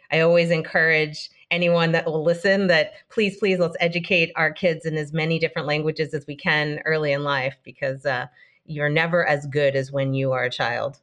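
A woman guest on a marketing podcast, answering in a thoughtful, measured way. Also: sits low, fairly bright tone. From born in Denmark, raised partly in Israel and the United States. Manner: thoughtful, measured, some restarts. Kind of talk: conversational interview.

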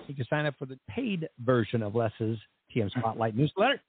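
A man's voice: medium pitch, big pitch swings, a little fast, over music. A man hosting an interview podcast, talking with effusive enthusiasm as he introduces his guest and asks his questions. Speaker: podcast host. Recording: dry, phone-like band.

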